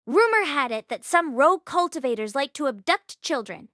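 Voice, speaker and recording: A woman narrating a story aloud in an expressive read-along style. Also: sits high, normal speed, no echo, studio quality. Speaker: audiobook read-along host.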